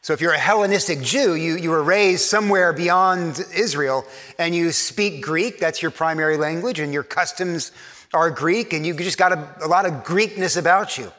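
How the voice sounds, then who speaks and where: A man from Texas, a pastor preaching a sermon to his church, talking fast and stumbling over repeated words, his Texan accent worn faint by years in New York. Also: fairly high pitch, sharp tone, big-room sound.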